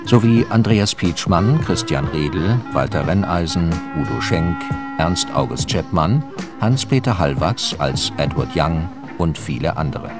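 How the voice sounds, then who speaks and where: A man formally reading radio drama credits as an announcer, in a deliberate scripted manner. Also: deep voice, animated, over music.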